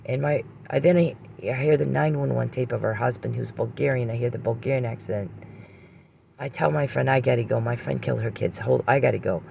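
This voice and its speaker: A man with a heavily creaky, gravelly voice speaks in an unscripted personal monologue. He is emphatic and circles back over his words, breaking off and restarting, with blunt swearing. There is faint noise behind him. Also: mid-range voice, fairly even intonation, brisk, highs cut off.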